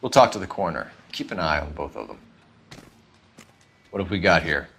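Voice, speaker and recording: A man's voice: deep voice, sing-song, a little fast, creaky, a bit bright, slight background noise, full character voice. An American man playing an earnest, methodical police detective in a video game, speaking formally and inquisitively.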